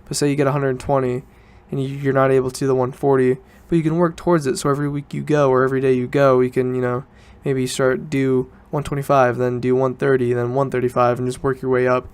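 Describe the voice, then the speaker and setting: Young man chatting casually, flat pitch. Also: fast, hardly any pauses, clear, resonant tone. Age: teens to young adult student. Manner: informal, rambling, self-correcting. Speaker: podcast host. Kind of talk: casual solo podcast chat.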